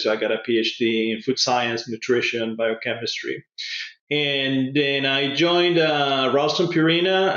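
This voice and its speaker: An older man with a Peruvian accent answers interview questions conversationally, pausing often as he thinks. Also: medium pitch, a bit bright. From Lima, Peru. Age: 50s or older. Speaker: podcast guest, pet food industry consultant. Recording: roomy echo, dull lo-fi sound.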